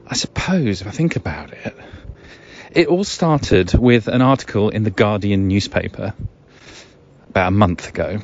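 A middle-aged man, musing alone as a podcast host in a rambling, wry, hesitant way full of wordy asides. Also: on the low side. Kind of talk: musing solo podcast monologue. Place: outdoors in the woods. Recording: dull lo-fi recording, ambient noise.

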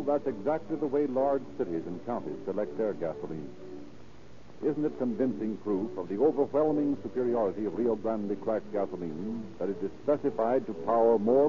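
Formal male announcer on muffled old radio. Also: medium pitch. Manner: formal, emphatic delivery. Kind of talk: 1930s radio advertising and scripted narration.

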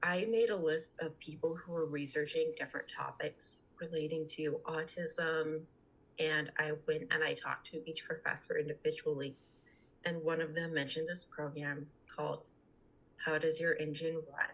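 A woman's voice: low-pitched, fairly even intonation, normal speed, fairly bright tone, slightly rough, poor audio. A young adult woman talking casually as a podcast guest, earnest and chatty. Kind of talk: casual conversational interview talk.

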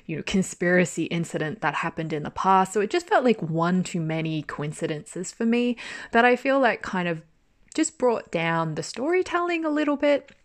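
A woman's voice: on the low side, lively intonation, moderate pace. A young adult woman giving a casual, rambling spoken review of a drama, full of fillers and self-aware laughter.

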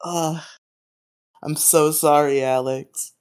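This co-host of a casual podcast chats at a middling pitch. They laugh easily and answer with theatrical, exaggerated sympathy. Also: steady tone, slow, smooth. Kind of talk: casual chat.

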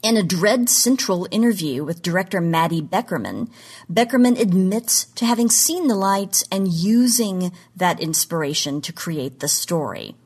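A woman's voice: lowish voice, animated, normal speed, a little mellow, breathy edge. A woman presenting a scripted podcast segment on genre history in an articulate, emphatic, lecture-like manner.

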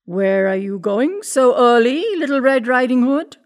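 女声演绎的狡猾贪吃的公狼. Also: female voice, fairly high pitch, animated, measured pace, a little mellow, pitched above the performer's own voice. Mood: coaxing. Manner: coaxing, scheming. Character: sly, hungry male wolf, falsely friendly.

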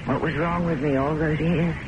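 An actress playing an unhinged, lovelorn middle-aged former secretary in an old-time radio drama, with an intense theatrical manner. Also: female voice, low-pitched, fairly even intonation, moderate pace, muffled recording, lightly acted. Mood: brooding. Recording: noisy old radio recording.

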